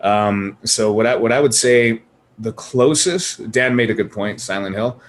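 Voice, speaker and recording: Male panelist, slightly deep voice, casual and opinionated, swears freely. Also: measured pace. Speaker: podcast panelist. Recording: clear background noise.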